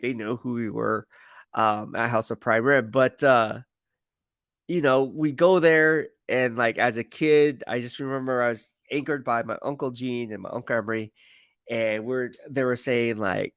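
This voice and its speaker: Male sports streamer, gravelly edge, dry close sound, casual and emphatic, rambles and circles back on his points. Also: mid-range voice, moderate pace, fairly bright tone, dry recording. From San Francisco Bay Area, United States. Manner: rambling, slangy, emphatic, repeats himself. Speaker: sports streamer and football commentator. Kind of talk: casual live stream chat, answering viewer comments.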